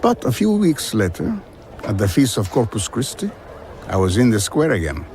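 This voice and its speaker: An actor playing a haunted, wistful older former traveller, speaking in a husky voice and reflective, earnest manner in an audio drama. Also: male voice, fairly low pitch, very animated, moderate pace, darkish tone, a little hiss or hum. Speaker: narrator and central character. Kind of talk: audio drama narration and dialogue.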